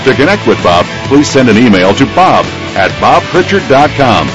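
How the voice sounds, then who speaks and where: A man reading a scripted radio advert in a punchy sales-pitch manner. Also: sing-song, moderate pace. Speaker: announcer. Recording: read over loud music.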